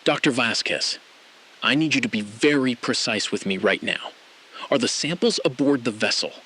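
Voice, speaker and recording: A man playing an uneasy, evasive ground controller in an audio drama, using halting, formal bureaucratic phrases. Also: mid-range voice, animated, bright, forceful tone, poor audio. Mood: tense, pleading. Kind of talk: scripted audio drama acting.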